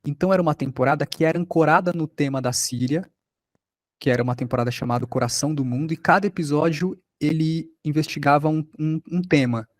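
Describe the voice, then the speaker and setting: A man's voice: fairly high pitch, quick pace, no echo, studio quality. A man with a Brazilian accent giving an informal online lecture as a workshop instructor.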